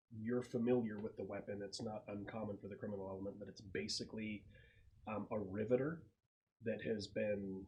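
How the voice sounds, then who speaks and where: A man narrating a tabletop game as game master in a casual, slangy way with halting descriptions. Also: fairly low pitch, a little mellow, poor audio.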